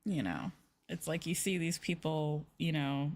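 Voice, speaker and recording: Female streamer, gentle and lowish, chatting softly in ASMR style over faint background ambience. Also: lively intonation, measured pace, noisy background. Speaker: livestreamer, virtual streamer host. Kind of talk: soft-spoken ASMR livestream chat.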